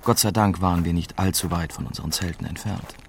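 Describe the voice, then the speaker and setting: A man's voice: sits low, steady tone, quick pace. An actor playing a young German reporter who narrates his story in the first person, as scripted radio drama. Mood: reflective. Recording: clear music bed behind narration.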